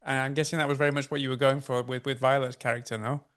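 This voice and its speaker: A man's voice: medium pitch, flat delivery, fast, fairly bright tone, clear, resonant tone. A man hosting an interview, asking long questions with many stammers, fillers and restarts. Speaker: interview host. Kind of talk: conversational interview.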